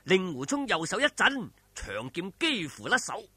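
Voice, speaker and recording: 激昂生動嘅香港粵語男講古佬. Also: male voice, sits high, wildly swooping pitch, moderate pace, bright, forceful tone, husky, no echo. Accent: Hong Kong Cantonese. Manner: dramatic, colloquial, with exclamations and vocal sound effects. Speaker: storyteller. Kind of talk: animated oral storytelling of a martial arts novel.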